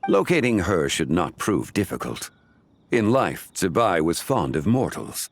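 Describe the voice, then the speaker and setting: An actor playing a calm, learned ancient man in a video game, speaking formally and deliberately. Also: sits low, a little hiss or hum, heavily acted. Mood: grave, composed. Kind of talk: scripted video game dialogue.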